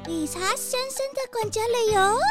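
A woman's voice: very high voice, expressive, moderate pace, music in the background. A woman voicing an eager, friendly lady vole cook with a quirky rustic verbal tic, in a children's audio story. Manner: quirky hometown verbal tic ending sentences.

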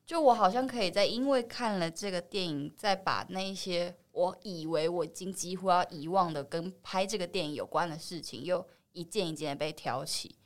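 A woman speaks Taiwanese Mandarin in a slightly low register. She is an actress being interviewed, explaining her thoughts reflectively and sprinkling in the odd English word. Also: moderate pace, hardly any pauses. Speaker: film actress, interview guest.

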